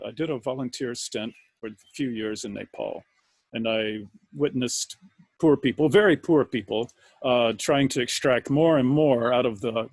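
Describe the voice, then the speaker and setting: Brief: man, panel guest, gravelly and husky, dry sound, hesitant. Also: medium pitch, no room sound. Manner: hesitant, many fillers. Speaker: panel speaker. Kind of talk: casual talk.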